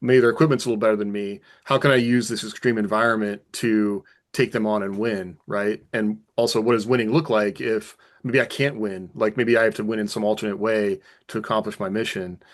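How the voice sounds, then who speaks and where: A man's voice: fairly low pitch, fairly even intonation, fairly quick, hardly any pauses. A man co-hosting a casual panel discussion about writing, thinking aloud with enthusiasm. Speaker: podcast co-host and author.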